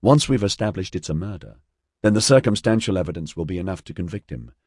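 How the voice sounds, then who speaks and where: A man's voice: low-pitched, lively intonation, quick pace, a little mellow, no room sound, clean studio sound. A man voicing a methodical, businesslike female investigator in an audiobook.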